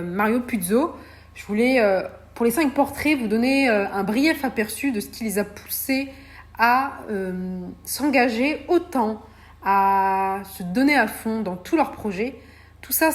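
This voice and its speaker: A woman's voice: medium pitch, darkish tone. A woman hosting a solo podcast, talking in a hesitant way with many fillers and restarts. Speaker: podcast host.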